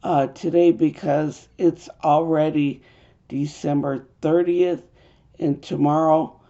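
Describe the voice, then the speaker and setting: Hoarse woman with a gravelly, slow voice. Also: sits low, steady tone, warm tone. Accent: American. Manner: earnest, halting with fillers. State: hoarse from a cold. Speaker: video host. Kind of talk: urgent religious video monologue. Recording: dull lo-fi home recording.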